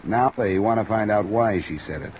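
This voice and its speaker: Low-pitched hard-boiled investigator played by a man. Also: normal speed, darkish tone, lo-fi sound, slight background noise, lightly acted. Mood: accusing, firm. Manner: clipped, hard-boiled, matter-of-fact. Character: tough, dogged, cool-headed insurance investigator. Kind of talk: old-time radio drama acting and narration. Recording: old radio recording under light rain.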